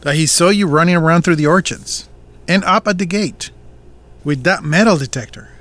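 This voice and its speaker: An actor playing a firm man who co-runs a family farm, confronting someone in a measured, serious way in an audio drama. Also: fairly high pitch, a bit bright, natural voice.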